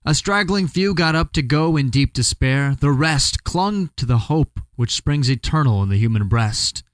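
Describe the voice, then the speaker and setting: A man giving a dramatic recitation of a poem, with big swings in pitch and pace and bursts of shouting. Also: animated, moderate pace, no room sound. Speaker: poetry reader.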